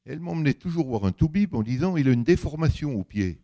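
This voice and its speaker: An older man with a big voice giving an anecdotal public talk, colloquial and emphatic, acting out bits of dialogue as he tells it. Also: quick pace. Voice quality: big deep voice. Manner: animated storytelling, colloquial, emphatic, acts out reported dialogue.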